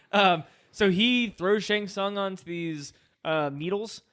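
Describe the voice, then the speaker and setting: A man's voice: high voice, slow, a bit bright, smooth. A man co-hosting a film podcast, speaking in an informal, casual group chat.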